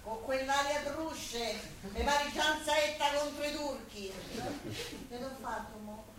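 A woman's voice: high-pitched, slow, crowd noise. An actress playing an idle, vain young woman in a popular stage play, complaining breezily in a colloquial Roman accent. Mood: defensive. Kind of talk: Italian popular stage comedy-drama dialogue. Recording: echoey, noisy stage recording from far off.

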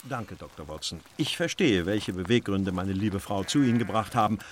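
An actor playing a polite, formal married gentleman in a radio drama. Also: lowish voice, expressive, moderate pace, a little hiss or hum, natural voice. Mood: formal politeness. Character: polite, formal gentleman husband, somewhat puzzled.

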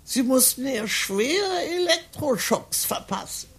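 An actress playing a confused, forgetful old woman in a psychiatric ward, rambling in a creaky, breathy voice in a radio play. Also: sits high, very animated, slow, heavily acted. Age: older. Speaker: patient.

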